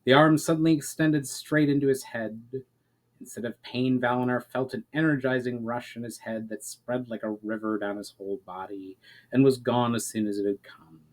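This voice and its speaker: A man's voice: medium pitch, fairly even intonation, moderate pace, rough recording. Man narrating a fantasy story dramatically. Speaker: author reading his own story.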